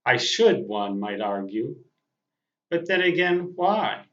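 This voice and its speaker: A man in his sixties giving a casual, reflective monologue as a veteran radio hobbyist, speaking in a deliberate, halting way with emphatic phrases. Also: mid-range voice, big pitch swings, a bit bright. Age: 60s. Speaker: veteran amateur radio operator. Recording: muffled audio in a roomy space.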